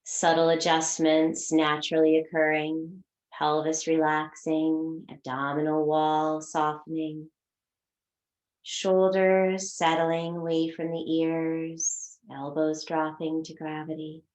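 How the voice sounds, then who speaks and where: A woman teaching a guided meditation, giving soothing, unhurried instructions with long pauses between phrases. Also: low voice, monotone, a little mellow, reverberant, rough recording.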